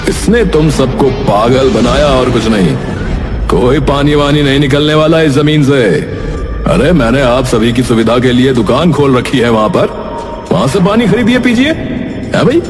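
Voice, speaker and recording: A man's voice: mid-range voice, expressive, normal speed, lightly acted. A man voicing a greedy, scheming middle-aged village chief in a cartoon story, boastful and sneering. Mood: scornful. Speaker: voice actor. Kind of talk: cartoon voice acting. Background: background music.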